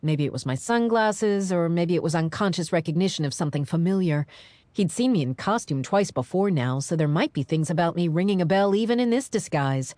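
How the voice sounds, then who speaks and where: Expressive, low-pitched woman narrator. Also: a little fast, dry recording. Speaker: audiobook narrator. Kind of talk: scripted first-person dramatized narration.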